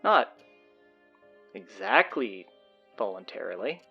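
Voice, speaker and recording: A woman playing an earnest, defensive high school student with a wordy way of talking, in an audio drama. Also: big pitch swings, slow pace, vocal fry, husky, highs cut off, soft background music, heavily acted. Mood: flustered, evasive.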